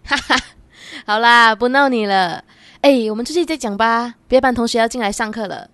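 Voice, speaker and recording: A woman's voice: a little high, moderate pace, a bit bright, no echo. A young woman with a Malaysian Chinese accent playing a bubbly, coy, teasing university student in a radio drama. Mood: playful, teasing. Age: young adult. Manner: coy, teasing, particle-heavy colloquial speech. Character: bubbly, playful 23-year-old female university student in love.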